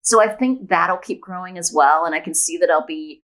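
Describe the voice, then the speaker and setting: Upbeat, lower-pitched woman chatting as guest. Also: normal speed, fairly bright tone. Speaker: guest author and podcaster. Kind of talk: casual conversational interview.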